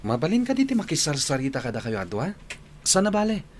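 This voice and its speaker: A man's voice: a little high, huge pitch swings, fast talker, a little hiss or hum. A man playing a polite, nervous young suitor in a radio drama, with a Filipino accent and an earnest, hesitant manner. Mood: friendly, eager.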